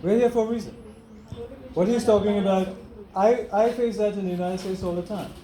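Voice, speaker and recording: Older trinidadian guy preaching to family, pretty high voice, echoey room with lots of noise. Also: steady tone, a little fast, mellow. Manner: earnest, preachy, repeats phrases for emphasis. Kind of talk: impassioned speech at a family gathering. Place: home gathering after a funeral service.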